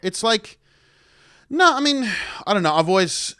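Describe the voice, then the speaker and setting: A man's voice: high-pitched, very animated, unhurried, bright, forceful tone, clean tone, no echo, studio quality. A young adult man with an Australian accent, rambling through casual chat as a podcast guest, hesitant and laughing often. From New Zealand.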